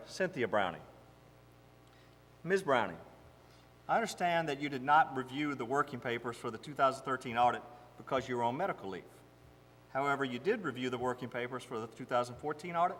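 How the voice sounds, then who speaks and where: Male, acting as a board examiner at a hearing. Medium pitch, deliberate and probing, long room reverb. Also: a bit bright, natural voice. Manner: formal, deliberate, pointed. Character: probing male board member questioning an auditor. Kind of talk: amateur dramatization read on stage. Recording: echoey hall, long reverb.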